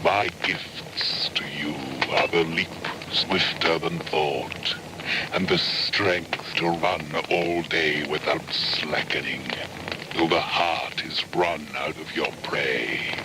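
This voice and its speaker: An actor playing a solemn predator animal spirit, speaking gravely and deliberately in poetic radio drama. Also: male voice, extremely low pitch, fairly even intonation. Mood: grave, generous. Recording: clear background ambience noise.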